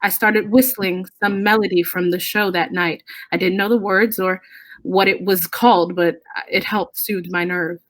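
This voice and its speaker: A woman's voice: mid-range voice, fairly even intonation, normal speed, a bit bright, dry recording, low-quality recording. Woman storyteller, very dry recording. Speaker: storyteller reading a ghost story. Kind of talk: dramatic scripted narration.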